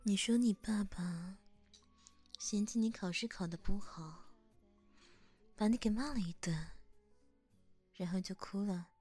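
A woman in a whispered ASMR roleplay, gently coaxing a younger boy as his hairdresser, in a soft big-sister tone, close to the microphone. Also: medium pitch, measured pace, long pauses, husky, soft background music.

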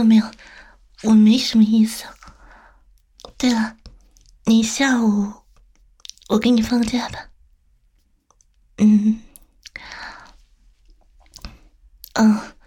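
A woman's voice: on the high side, darkish tone. A woman playing a teasing, coaxing female boss flirting with a younger employee in an intimate, whispered audio roleplay. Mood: playful coaxing. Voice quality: breathy, whispery. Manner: slow, coaxing, tender, teasing.

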